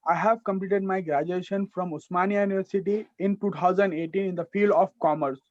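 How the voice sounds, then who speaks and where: A man's voice: sits high, fairly even intonation, brisk, no room sound, dull audio. A young man in his twenties with an Indian English accent, a student reciting a rehearsed self-introduction with small restarts over a video call.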